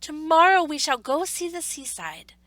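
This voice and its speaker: Here is a woman playing a little toy rabbit boy from a children's storybook. He sounds high and bright, earnest and wistful, exclaiming with plaintive emphasis. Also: very animated, normal speed, no echo. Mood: excited. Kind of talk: children's storybook read-aloud.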